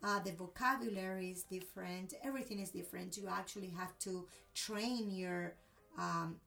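A woman's voice: fairly low pitch, moderate pace, creaky, pushed and bright, far from the mic. A woman from Cuba with a Cuban accent and Spanish as her first language, telling a personal story expressively in a conversational interview.

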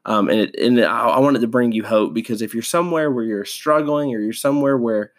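A man's voice: medium pitch, a little fast. A man hosting a devotional podcast, stuffed up from a sinus infection, talking casually with many fillers and restarts. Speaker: podcast host.

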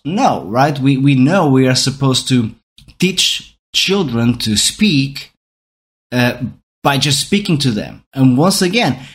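Portuguese man musing on how we learn. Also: medium pitch. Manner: thinking aloud, many restarts and rhetorical "right?". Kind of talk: reflective talk on learning.

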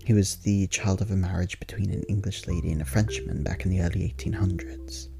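A man acts out a friendly male diarist rambling through a casual roleplay monologue. His voice is very low with a crackle of fry, and his delivery is conversational and wry, with hesitant asides. Soft music plays behind him. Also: fairly even intonation, a little fast. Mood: musing, amused.

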